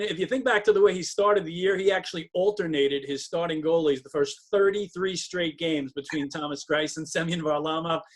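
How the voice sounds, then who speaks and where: Male sports broadcaster as interview guest, slightly high voice, very quick delivery, emphatic and detailed. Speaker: radio play-by-play hockey broadcaster, interview guest. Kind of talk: interview answers, sports analysis.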